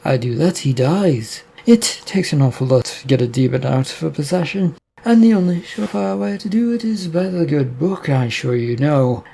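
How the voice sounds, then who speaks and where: Sly possessing demon, slow taunting delivery, husky low tone, read by a man. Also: expressive, warm tone, exaggerated voice. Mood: coaxing sly. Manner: taunting, coaxing, drawn-out.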